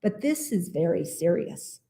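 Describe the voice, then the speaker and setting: A woman's voice: on the low side, sing-song, a little slow, vocal fry, mellow, heavily acted. A woman voicing an indignant, commanding frog from a pond in a storybook, speaking emphatically. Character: indignant, commanding chief frog and his pondmates.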